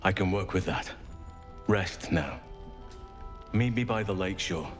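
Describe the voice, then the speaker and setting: An actor playing a solemn, earnest old elven druid in a video game, speaking in a grave, measured, formal way. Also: male voice, sits low, faint music behind. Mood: resolute. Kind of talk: video game character acting.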